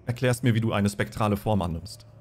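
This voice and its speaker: A man's voice: on the low side, brisk, darkish tone. A man acting as game master, explaining rules and narrating a tabletop role-playing game in a conversational way.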